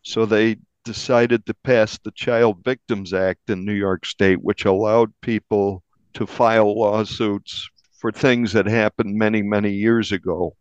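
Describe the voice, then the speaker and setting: A man speaking as an interviewed newspaper reporter, explaining a crime story in a measured, halting way with repeated phrases. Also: fairly low pitch, fairly even intonation, smooth, no echo, muffled recording.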